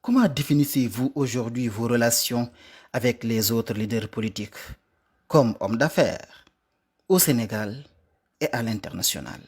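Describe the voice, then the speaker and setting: A man's voice: medium pitch, normal speed, breathy edge. A man interviewing a politician, asking a question in a formal, polite way.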